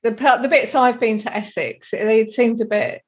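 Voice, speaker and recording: A woman's voice: on the high side, normal speed. A middle-aged woman from Kent with a southern English accent, chatting casually and reminiscing in a rambling, easily amused way. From Kent, England.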